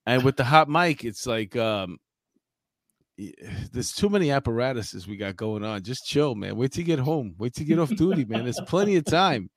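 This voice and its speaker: A man co-hosting a live chat show, bantering and telling stories in colloquial, animated everyday speech. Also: medium pitch, moderate pace, no echo. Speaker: talk show co-host.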